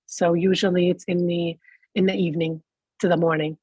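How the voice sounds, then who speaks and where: A woman's voice: lowish voice, little pitch movement, moderate pace, a little mellow, slightly rough, no room sound. A congested woman reporting to a committee from her office, polite and apologetic. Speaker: sheriff's office representative. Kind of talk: reporting to a public meeting. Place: her office, joining remotely.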